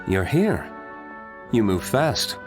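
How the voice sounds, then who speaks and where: A man playing a cool, reserved young gentleman in a video game, calm and matter-of-fact. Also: mid-range voice, slow, music in the background. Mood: calm, composed. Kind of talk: video game character dialogue.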